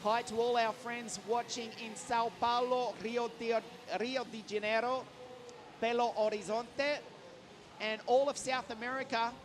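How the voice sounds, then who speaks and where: A man's voice: very high voice, little pitch movement, a little slow, noisy background. A man giving live motorsport commentary from trackside, rattling off names and results with enthusiasm. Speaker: race commentator. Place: trackside at a karting circuit in southern Italy.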